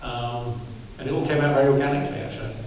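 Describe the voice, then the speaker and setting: A man's voice: medium pitch, steady tone, rapid-fire, recorded from a distance. A man who directs films, answering a press question in a wry, loose way with easy laughs. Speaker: film director. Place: press conference room. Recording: echoey room, mic from afar.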